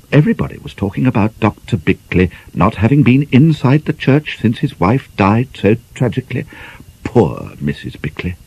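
Low, lively British male narrator. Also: husky, no room sound, lo-fi sound. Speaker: audiobook narrator. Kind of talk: expressive scripted literary narration.

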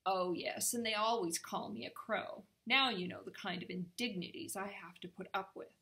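A woman's voice: mid-range voice, normal speed, bright, forceful tone. A woman voicing a bossy, sarcastic male raven in a storybook. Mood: grumbling, bossy.

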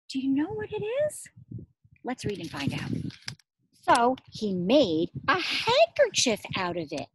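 A middle-aged woman reading a picture book aloud to young children as their teacher, expressive, asking questions and pausing for answers. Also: a little high, a little hiss or hum, poor audio. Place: outdoors among trees and shrubs.

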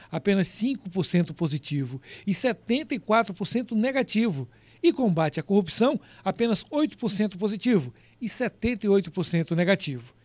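A male TV news reporter reading a survey report in a measured voice-over, with a Brazilian Portuguese accent. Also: high-pitched, darkish tone, no echo. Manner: measured, reads out figures crisply.

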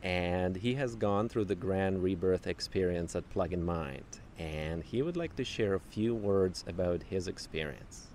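Deep man introducing a guest. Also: unhurried, few pauses, darkish tone, slight background noise. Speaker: program host introducing the guest. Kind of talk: brief spoken introduction.

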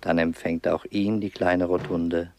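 An actor playing a solemn chronicler, narrating a scripted German-style radio play. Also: male voice, low voice, level tone, a little slow, soft background music. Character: solemn male chronicler of a Roman funeral.

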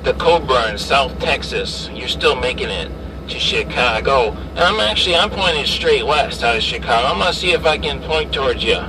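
A man's voice: mid-range voice, lively intonation, normal speed. A man chatting as a CB radio operator inside a vehicle, repeating callsigns and phrases. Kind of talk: CB radio chat. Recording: distant mic, clear vehicle cabin noise. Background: vehicle and ventilation noise.

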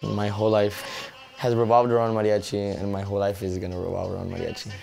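Casting: late-teen male student musician, casual interview, noticeable vocal fry, low pitch. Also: level tone, fast, darkish tone, soft background music. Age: late teens. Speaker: high school mariachi violinist. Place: small studio room, indoors.

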